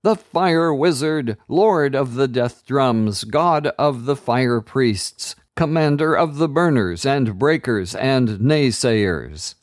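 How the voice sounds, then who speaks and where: A man's voice: mid-range voice, animated, slow, hardly any pauses, dry recording, clean studio sound, voice pushed up. A man voicing a herald who grandly proclaims a wizard's titles. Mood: proclaiming. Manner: proclaiming, declamatory.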